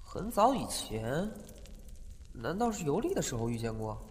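A man playing a chatty, animated young shop owner. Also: fairly high pitch, moderate pace, slight background noise. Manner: talkative, lively, muses aloud to himself. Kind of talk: fan audio drama acting.

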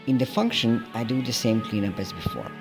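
A man calmly narrating a programming tutorial step by step in a scripted voice-over. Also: mid-range voice, fairly quick, gravelly. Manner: calm, methodical, explaining each step. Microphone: voice-over over screen recording. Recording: soft background music.

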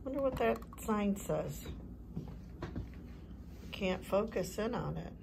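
A woman's voice: mid-range voice, lively intonation, takes it slowly, gravelly, darkish tone, a little hiss or hum. An older woman chatting casually at home from behind the camera as she films. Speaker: vlogger filming at home. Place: living room and kitchen at home.

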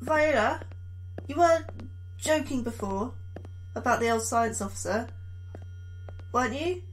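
Anxious, high-voiced woman astrobiologist. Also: animated, measured pace, a little hiss or hum, low-quality recording. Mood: suspicious. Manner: anxious, questioning, sarcastic. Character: anxious female astrobiologist, wary and sardonic. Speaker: actor in audio drama, science officer. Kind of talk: sci-fi horror audio drama acting.

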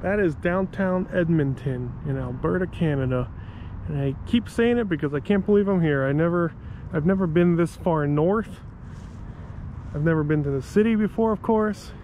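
A man's voice: fairly high pitch, moderate pace, phone-quality sound, a little hiss or hum. A man narrating a walking tour of a city park outdoors as a travel vlogger, talking enthusiastically and correcting himself as he goes. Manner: enthusiastic, rambling with self-corrections.

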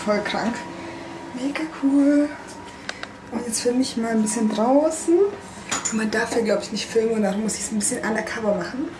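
A woman's voice: fairly high pitch, moderate pace, heavy background noise. A young woman vlogger talking casually and enthusiastically, often exclaiming, into a handheld camera in a restaurant. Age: young adult. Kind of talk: casual follow-along travel vlog. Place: busy indoor themed restaurant. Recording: loud restaurant ambience, clattering dishes.

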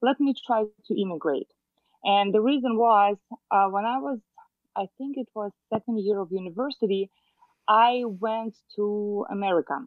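A woman's voice: mid-range voice, brisk, no room sound. A woman in her thirties from Moldova with a Russian accent, a realtor talking through a video call interview in a chatty, hesitant way with many fillers. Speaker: guest realtor. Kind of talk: casual interview. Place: home room, indoors. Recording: dry tinny video call audio.

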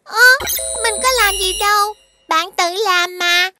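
A woman's voice: extremely high pitch, steady tone, measured pace. A woman voicing a kind, encouraging little helper creature in a children's cartoon, childlike and instructive. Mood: kind, reassuring. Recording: music behind the voice.

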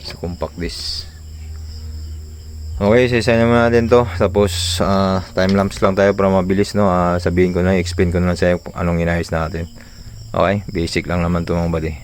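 A man's voice: low-pitched, little pitch movement, normal speed, a little hiss or hum. A man casually explaining a hands-on repair, informally tossing in short tag questions, like a tutorial vlogger.

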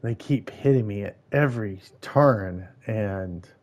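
A man hosting a casual game playthrough, musing aloud in a halting, rambling way. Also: on the low side, darkish tone, dull audio. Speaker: gaming channel host. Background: game music.

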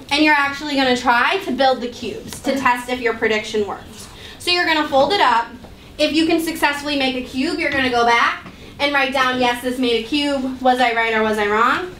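Casting: young female teacher, noticeably high voice, directive and questioning, instructing children from across a noisy room. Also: bright, forceful tone, recorded from a distance. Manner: directive, questioning, projecting to a class. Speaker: math teacher. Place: indoor school classroom with students. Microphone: distant camera microphone. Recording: roomy, noisy classroom, picked up from afar.

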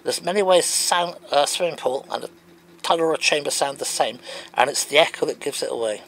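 A man's voice: a little high, brisk, a little hiss or hum. A man reading out comments and answering them in an emphatic, wondering way. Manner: animated, wondering aloud, emphatic.

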